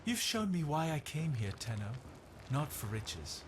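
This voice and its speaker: A man's voice: on the high side, sing-song, unhurried, noisy background. An actor playing a haunted male trader in a video game, speaking in a dramatic, solemn, grieving way. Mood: sorrowful, bitter.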